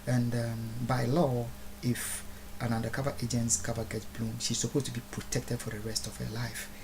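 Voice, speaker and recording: A man from Ghana with a Ghanaian accent giving a solemn, formal, oratorical address, halting with long pauses and deliberate repeated phrases. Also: medium pitch, level tone, noisy background. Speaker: speaker recording a video address. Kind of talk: solemn public address, unscripted.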